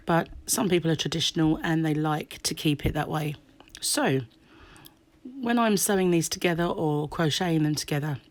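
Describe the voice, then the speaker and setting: A woman with a slightly croaky voice from a sore throat, chatting through a crochet tutorial and dropping to soft half-whispers as she works. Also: deep voice, no echo. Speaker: crochet teacher. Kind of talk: hands-on craft tutorial.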